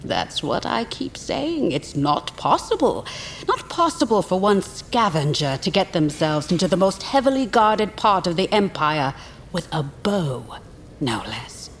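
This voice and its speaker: A woman playing a menacing vulture interrogator in an audio drama, speaking deliberately and theatrically with cold threat. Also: fairly low pitch, very animated, slight background noise. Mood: sardonic, menacing. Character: menacing vulture lord, interrogator and assassin hunter.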